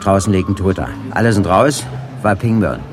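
An actor playing a flustered small-time informant in a radio crime play, hesitant, rambling and defensive. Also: male voice, low-pitched, animated, normal speed, over music. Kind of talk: 1980s German radio play acting.

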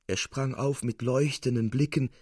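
A man narrating a literary tale in a formal, dramatic, expressive storytelling style. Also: mid-range voice, moderate pace, breathy edge, no room sound, studio quality.

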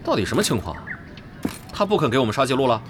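A man's voice: mid-range voice, sing-song, fast talker, natural voice. A man playing a confident, cajoling guild gamer in a Chinese audio drama. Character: confident male online-game guild player, cajoling, competitive. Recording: loud music behind the voice.

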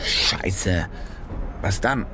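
Wry, animated German reporter. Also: male voice, sits low, very slow, background noise, full character voice. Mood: brash banter. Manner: sardonic, colloquial, commanding. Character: cynical German fake war reporter, brash and wry. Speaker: protagonist and first-person narrator. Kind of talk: radio crime drama acting with casual narration. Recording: music behind the voice.

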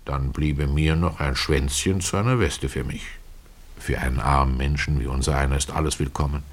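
Casting: poor pious tailor, low-pitched, plain-spoken, folksy and brooding, confiding storyteller for radio drama. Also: male voice. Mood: wistful, confiding. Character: humble poor Russian tailor, plain-spoken, pious, retelling his regrets. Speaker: narrator of a literary radio play. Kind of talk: dramatic first-person storytelling.

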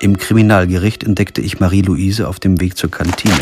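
An actor playing a wry, pragmatic lawyer in a radio crime drama, narrating and speaking in a dry, understated way. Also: male voice, sits low, fairly even intonation, a little fast, over loud music, close to an everyday voice. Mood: matter-of-fact. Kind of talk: scripted first-person narration and radio drama dialogue.

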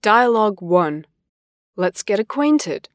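Woman reading lesson dialogues very slowly. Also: medium pitch, sing-song, no echo, clean studio sound. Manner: slow, deliberate, carefully enunciated. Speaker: language course voice actor. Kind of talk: scripted textbook dialogue reading.

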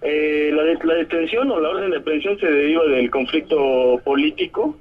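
Mexican man on a muffled phone line. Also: a little high. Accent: southern Mexican. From Oaxaca, Mexico. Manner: halting, many tag questions. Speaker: detained community activist giving a statement. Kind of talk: spoken testimony by phone to a press conference. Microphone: telephone. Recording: muffled, narrow-band telephone line.

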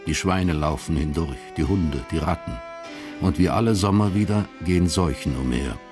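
A man with a low voice narrates a period crime play. His delivery is measured, sober and literary, with faint music in the production. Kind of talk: scripted narration of a radio crime drama.

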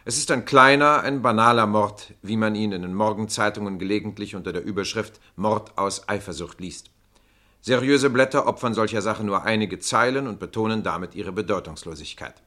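A man playing a patient, formal narrator in a radio play, explaining deliberately to his audience. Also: lowish voice, sharp tone.

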